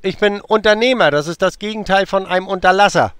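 A man's voice: high voice, quick pace, fairly bright tone, smooth, no room sound. A man in his late fifties on a phone call, playful and stalling, full of hesitations and teasing asides. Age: 50s.

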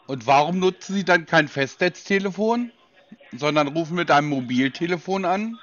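A man's voice: fairly high pitch, normal speed, fairly bright tone. A man questioning a caller on the phone in a pedantic, sceptical way, often cutting in. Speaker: customer on a sales call. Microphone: phone call. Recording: dull, lo-fi phone call audio.

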